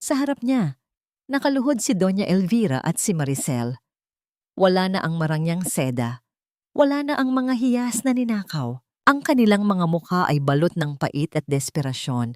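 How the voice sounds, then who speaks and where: A woman narrates a dramatic audiobook tale in a lowish voice. The delivery is scripted storytelling, and the recording is close and dry, with hardly any room sound. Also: sing-song, moderate pace, darkish tone, dry recording.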